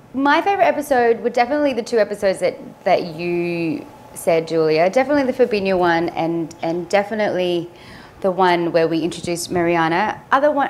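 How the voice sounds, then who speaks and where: Young female studio host, bubbly, laughs easily, lapel mic, faint hiss behind. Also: fairly low pitch. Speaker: talk show host. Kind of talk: casual show hosting. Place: studio with couch and city backdrop.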